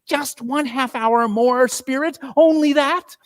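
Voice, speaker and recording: A man's voice: extremely high pitch, takes it slowly, pitched above the performer's own voice, exaggerated voice. A man voicing a frightened, humbled old miser who pleads anxiously in a dramatic storybook reading. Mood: begging.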